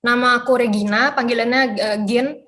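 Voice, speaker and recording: Woman in her twenties with a slightly high voice, giving a hesitant self-introduction. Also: steady tone, a little fast, fairly bright tone. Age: 20s. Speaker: model applicant. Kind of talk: online interview self-introduction.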